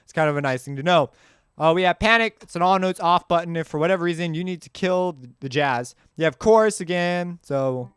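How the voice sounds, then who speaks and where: A man hosting a casual music software tutorial, talking in an informal, rambling way and often correcting himself as he goes. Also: a little high, brisk, clear, resonant tone, no echo.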